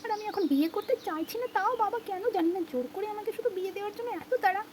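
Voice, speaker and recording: A woman's voice: very high-pitched, very fast, a little hiss or hum. A woman voicing a fretful, reluctant young bride-to-be in a children's doll puppet play, chatting. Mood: fretful, complaining. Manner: fretful, chatty, code-mixes English exclamations. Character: young village-dressed woman student, reluctant about arranged marriage. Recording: loud music behind the voice.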